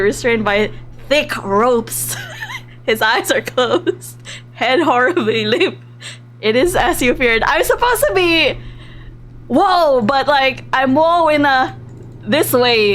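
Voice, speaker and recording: High-voiced, unhurried woman livestreaming a game. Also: a little hiss or hum. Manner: animated, reactive, giggly, drops into hushed narration. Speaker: streamer playing a visual novel live. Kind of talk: livestream game commentary mixed with reading story text aloud.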